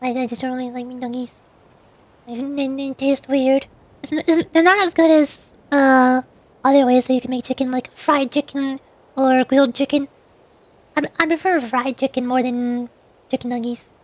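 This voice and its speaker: High-pitched woman chatting on a stream. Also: steady tone, darkish tone. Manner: hesitant, halting, repeats words. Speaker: VTuber streamer. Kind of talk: casual gaming stream chat.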